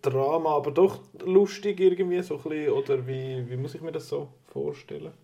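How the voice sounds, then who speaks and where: Mellow Swiss German man in his thirties. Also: a little high, fairly even intonation, brisk, vocal fry, muffled recording. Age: 30s. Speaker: co-host, listening and asking. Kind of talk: casual chat.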